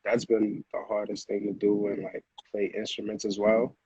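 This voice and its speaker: Deep, husky New man, casual. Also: little pitch movement, moderate pace, a little mellow, no echo, low-quality recording. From Honduras, moved to the Bronx at eight. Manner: slangy, repeats phrases. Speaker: music producer, interview guest. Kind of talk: casual conversational interview.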